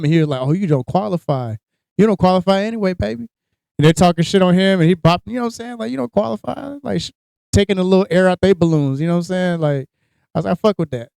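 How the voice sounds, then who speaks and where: Crude, joke-cracking man, smooth voice. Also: high voice, no room sound. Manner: crude, joking, animated storytelling. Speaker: podcast co-host. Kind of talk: casual chat.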